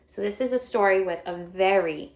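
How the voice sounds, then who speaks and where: A woman's voice: medium pitch, moderate pace, gravelly. A woman reading aloud to young children as a storyteller, explaining the book in simple, repetitive phrases. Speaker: read-aloud teacher or storyteller.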